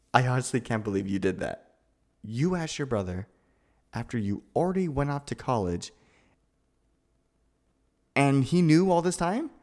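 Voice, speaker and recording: A man acting a nervous, awkward, lovestruck young man in a gentle roleplay, with hesitant, stammering delivery. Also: medium pitch, close to an everyday voice. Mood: stunned, agitated. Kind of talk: ASMR boyfriend roleplay acting.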